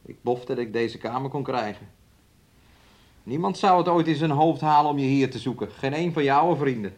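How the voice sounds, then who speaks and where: A male actor plays a possessive man in a radio drama, with a medium-pitched voice. He coaxes and needles, repeating his questions and insisting on control over his fiancée. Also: brisk, close to an everyday voice. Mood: coaxing, controlling. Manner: coaxing, needling, repetitive questions, refers to himself in third person. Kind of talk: Dutch radio play acting.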